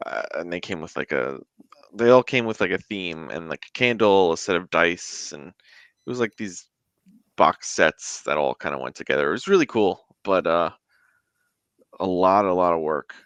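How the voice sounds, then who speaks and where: A man's voice: low voice, expressive, moderate pace, a bit bright, clean tone, no echo. A man speaking as a guest in a casual interview, with a rambling, conversational delivery. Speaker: guest, indie tabletop game creator.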